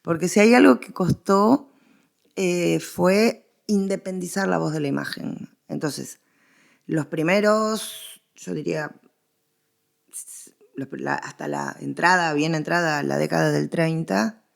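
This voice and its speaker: Argentine woman, low-pitched creaky expert voice. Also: slow. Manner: explanatory, emphatic repetition and examples. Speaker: interviewed researcher of radio history. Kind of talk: conversational expert interview.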